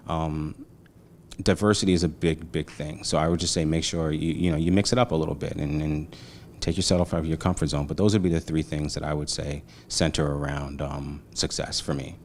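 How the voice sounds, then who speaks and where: Deep-voiced Brooklyn man in his forties. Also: slight background noise. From Brooklyn, New York. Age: 40s. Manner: relaxed, slangy, many fillers. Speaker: guest. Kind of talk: casual interview chat.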